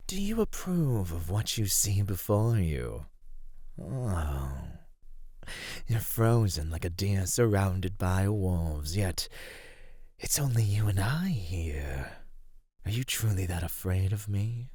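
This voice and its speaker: A man playing an ancient cave monster in an ASMR roleplay, husky and low, speaking formally with predatory, teasing menace. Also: sing-song, slow pace, dark tone, dry recording, studio quality, heavily acted. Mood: amused, imposing.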